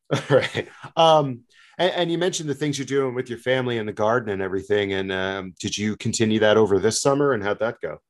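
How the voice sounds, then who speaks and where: A man's voice: lowish voice, a little fast. A man hosting a casual interview, speaking politely and conversationally. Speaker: podcast host.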